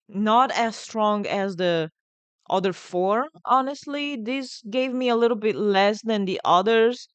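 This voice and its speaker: A woman with an Italian accent hosts a music reaction in a clean, smooth voice. She speaks casually and with enthusiasm, repeating words as she works out her thoughts. The sound is dry and close. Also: a little high, fairly bright tone, dry recording. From Italy. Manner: enthusiastic, halting, repeats words while thinking. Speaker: music reaction video host.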